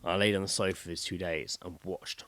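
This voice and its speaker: A man's voice: low-pitched, steady tone, a little fast, fairly bright tone, no echo. A British man co-hosting a casual comedy podcast, talking bluntly and emphatically with plenty of swearing. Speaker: podcast co-host.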